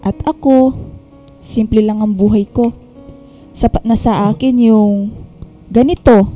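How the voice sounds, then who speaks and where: A woman's voice: a little high, fairly even intonation, a little slow, warm tone, soft background music, close to an everyday voice. A woman playing a caring older Filipino mother in a radio drama, fretting and scolding with motherly emphasis. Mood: reflective.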